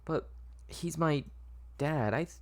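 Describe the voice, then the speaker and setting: A man's voice: fairly high pitch, slow pace, vocal fry, darkish tone, pitched above the performer's own voice. A man voicing a small, frightened, naive little girl who speaks hesitantly and stammers, in a story read-through. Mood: confused, uneasy.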